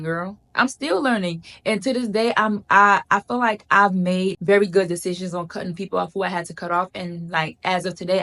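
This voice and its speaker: A woman's voice: fairly low pitch, a bit bright. A woman gives casual advice to camera. She talks in an emphatic, chatty way, repeats lines for emphasis and calls viewers "girl.". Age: 30s. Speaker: vlogger.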